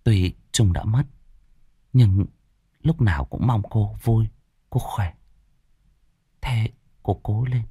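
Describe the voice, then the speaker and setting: A man's voice: lowish voice, expressive, normal speed, pauses often, dark tone, husky, no echo, studio quality. A man voicing a guarded young woman in an audio horror story, with polite but reserved speech. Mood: soft, consoling.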